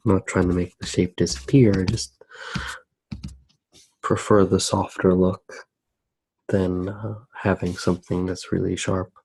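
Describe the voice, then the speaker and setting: A man giving soft-spoken ASMR commentary as he draws, musing aloud in a hesitant way with pauses. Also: deep voice, flat delivery, gravelly, slight background noise, low-quality recording. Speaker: host of an ASMR drawing channel.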